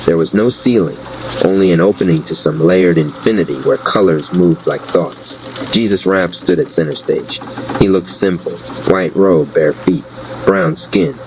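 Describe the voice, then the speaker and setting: A man narrating a dark fantasy audio drama in a solemn, dramatic spoken-word style. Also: sits low. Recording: clearly noisy recording.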